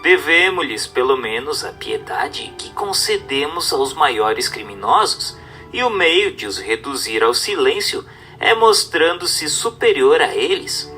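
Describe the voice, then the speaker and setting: A man's voice: mid-range voice, very animated, slow, sharp tone. A man gives a formal scripted reading of a religious text in a solemn, didactic manner. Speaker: narrator. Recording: narration with background music.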